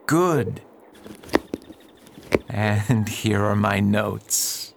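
An actor playing an upright, earnest preacher who pleads and reasons with others in a radio drama. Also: male voice, fairly low pitch, drawn-out words, vocal fry, slight background noise, heavily acted. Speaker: reverend at a mission.

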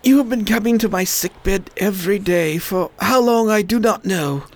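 A man's voice: sits high, normal speed, no echo, close to an everyday voice. A man playing a sick nobleman in a radio drama, his voice weak and pained. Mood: weak, weary. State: weak, in pain. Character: sick, suffering man of rank, pleading then weary.

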